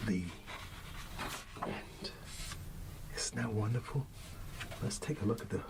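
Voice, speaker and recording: A man with a lowish, gravelly voice plays a gentle father at bedtime in ASMR style. He speaks very slowly and softly, often slipping toward a whisper. Noticeable ambient noise sits behind his voice. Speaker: ASMR roleplayer playing a father reading a bedtime story. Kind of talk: soft ASMR bedtime storybook reading, talking to a child.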